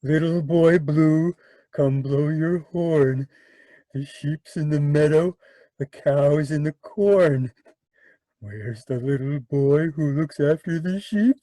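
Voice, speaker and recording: A man playing a gloating mad killer in an old-time radio mystery, taunting in a slow sing-song with cackles. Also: a little high, dark tone, no room sound, poor audio. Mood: gleeful, menacing.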